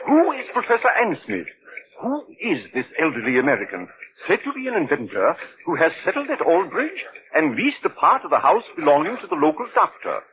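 An actor playing a brash, mocking newspaper editor in a 1940s radio drama, talking in a brisk, scoffing way. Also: male voice, fairly high pitch, huge pitch swings. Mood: dramatic. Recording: dull old radio recording.

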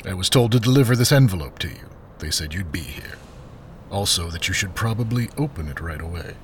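An actor playing a brisk, indifferent delivery man in an audio drama, speaking matter-of-factly. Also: low-pitched, expressive, slight background noise. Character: brisk, indifferent male envelope courier.

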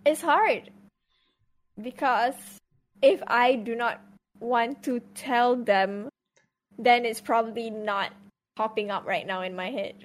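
A woman's voice: on the high side, slow pace, long pauses, rough recording. A young adult woman, a guest on a live stream, chatting casually and swearing as she tells a story.

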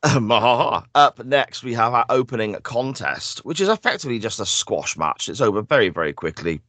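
A man hosting a casual podcast, a bit croaky and bunged up, chatty and quick to laugh. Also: medium pitch, sing-song, fairly bright tone, no echo. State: croaky, congested. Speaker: podcast host. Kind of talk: casual chat.

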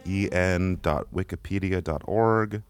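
A man co-hosting a comedy podcast, with a stuffed-up nose and a deadpan, mock-apologetic way of talking. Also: sits low, a little slow. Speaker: podcast co-host.

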